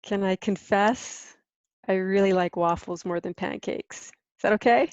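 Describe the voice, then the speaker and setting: A woman's voice: mid-range voice, a little slow, a little mellow, clear, resonant tone, no echo. Dull-sounding, dry recording of an American woman. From Hawaii, United States. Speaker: interview guest, content marketing business owner. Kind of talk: conversational interview answers.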